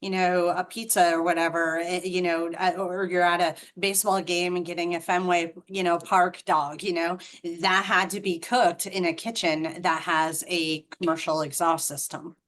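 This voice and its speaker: Middle-aged woman, Boston accent, lowish voice, chatty franchise explainer on a video call. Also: little pitch movement, normal speed, hardly any pauses, a bit bright. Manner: chatty and explanatory, frequent ums and restarts. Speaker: franchise representative.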